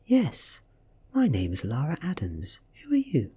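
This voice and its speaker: A man voicing an ancient female vampire posing as a blunt, teasing high school girl in a softly read story. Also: huge pitch swings, unhurried, heavily acted. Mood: polite, brisk. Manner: forthright, teasing, boastful. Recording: close, soft-spoken ASMR recording with husky breath.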